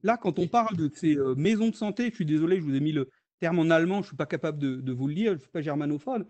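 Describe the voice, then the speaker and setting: A man's voice: fairly high pitch, animated, fast, darkish tone. A French man, an economist, presenting in a video-call webinar, hesitant with many fillers and restarts. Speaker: economist guest speaker.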